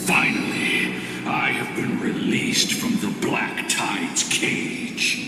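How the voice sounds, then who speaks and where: An actor playing an ancient, stern warrior spirit in a video game, speaking with slow, solemn, imperious grandeur. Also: male voice, heavy background noise, close to an everyday voice. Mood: imperious, doubting. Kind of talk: dramatic video game voice acting. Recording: cavernous long echo, distant, under music.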